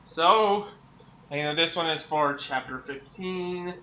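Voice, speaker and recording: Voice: mid-range voice, takes it slowly, sharp tone, a little hiss or hum, low-quality recording. A person of mid-range voice chatting casually and hesitantly as a guest author. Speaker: fan fiction author joining the reading. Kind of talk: casual chat.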